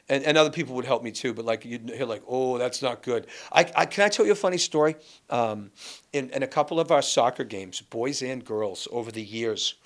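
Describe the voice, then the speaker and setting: A man from Massachusetts, a guest and former school athletics director, telling animated stories in a casual chat with many restarts. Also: medium pitch.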